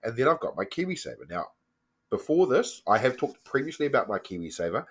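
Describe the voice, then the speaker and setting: A man with a New Zealand accent who has a cold and sounds slightly croaky, casually walking through his finance app on screen with lots of ums and self-corrections. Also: mid-range voice, very animated, brisk. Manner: chatty, loose, frequent ums and self-corrections. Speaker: personal finance vlogger. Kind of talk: casual screen-share walkthrough.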